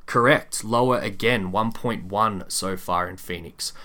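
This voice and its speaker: A man with a fairly low voice hosts a sports podcast. He presents prepared numbers in conversation, setting each one out deliberately like a quizmaster. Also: pushed and bright. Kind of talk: podcast chat with prepared stats quiz.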